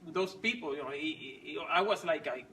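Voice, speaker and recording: A man in his forties, not a native English speaker, telling his story slowly with many pauses and restarts. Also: fairly high pitch, pushed and bright, far from the mic, a little hiss or hum. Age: 40s. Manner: halting, many fillers and restarts. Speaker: fit club member sharing his story. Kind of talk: casual personal story.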